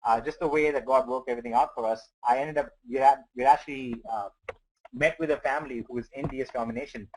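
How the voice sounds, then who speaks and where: A man's voice: medium pitch, fairly even intonation, fast, darkish tone, poor audio. A man on a webinar giving earnest, rambling motivational advice to sellers. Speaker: webinar presenter.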